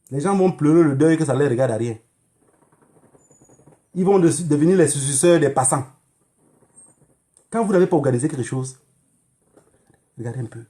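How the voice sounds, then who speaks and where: A man's voice: on the high side, dark tone. A man with a Cameroonian accent addressing viewers in a political livestream monologue, emphatic and admonishing, repeating phrases and throwing in rhetorical questions. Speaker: online activist livestreamer.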